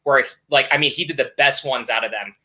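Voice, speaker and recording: A man's voice: medium pitch, fairly quick, bright, forceful tone. A young man from South Florida, a guest streamer talking casually and upbeat with lots of fillers. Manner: easygoing, filler-heavy, upbeat. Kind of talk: casual live stream chat.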